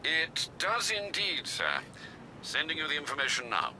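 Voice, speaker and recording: Older butler on comms, dry n formal, really sharp bright voice, bit of noise. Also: male voice, fairly high pitch, huge pitch swings, moderate pace, full character voice, low-quality recording. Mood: dutiful, formal. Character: older male English butler, dry and dutiful. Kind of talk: dramatic video game acting.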